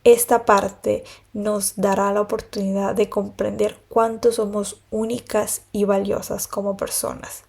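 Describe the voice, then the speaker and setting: Mellow-voiced woman sharing her story. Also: medium pitch, level tone, gravelly, slightly rough. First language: Spanish. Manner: earnest, unhurried, pauses between phrases. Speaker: podcast host, pilates and yoga teacher. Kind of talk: personal reflective monologue.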